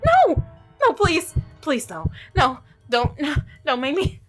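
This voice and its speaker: A woman's voice: sits high, very animated, slow pace, fairly bright tone, faint music behind, pitched above the performer's own voice, exaggerated voice. A woman playing an alarmed, disgusted visual novel protagonist, exclaiming with indignation. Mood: pleading.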